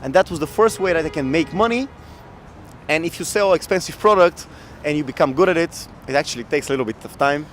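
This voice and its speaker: A man's voice: on the high side, fast, a little hiss or hum. Upbeat man talking outdoors in a city. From Bulgaria. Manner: animated, laughs often, upbeat. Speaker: guest entrepreneur. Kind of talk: casual interview storytelling. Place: outdoor city square in winter. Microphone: handheld mic shared, camera close.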